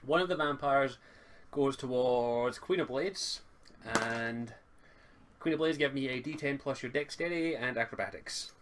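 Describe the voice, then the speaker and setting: A Scottish man acts as game master, describing action and calling for dice rolls in a casual, halting way. It sounds like a home voice chat mic, with slight background noise and clicks. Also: mid-range voice, fairly bright tone.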